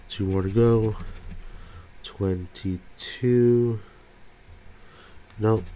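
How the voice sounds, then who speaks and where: A man's voice: lowish voice, mellow, a little hiss or hum. A man with a congested voice from a cold, calling out numbers one by one as he scratches lottery tickets. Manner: slow, calls out numbers one by one. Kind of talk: casual commentary while scratching tickets.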